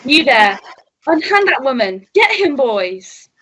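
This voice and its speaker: A bossy police sergeant, acted by a woman, giving orders in a high voice. The delivery is slow and deliberate, in radio melodrama style. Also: sing-song, pushed and bright, breathy edge, heavily acted, poor audio. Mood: commanding. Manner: commanding, deliberate. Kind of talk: melodramatic radio play acting.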